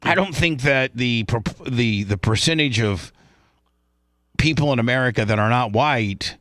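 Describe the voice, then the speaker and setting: A man's voice: medium pitch, normal speed, a bit bright, no room sound, studio quality. A man hosting casual talk radio, probing with questions and often repeating and rephrasing himself. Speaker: radio show host.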